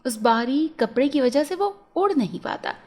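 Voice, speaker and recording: A woman's voice: high voice, expressive, normal speed, a little mellow. A woman storyteller reading a scripted fairy tale for children as a narrator.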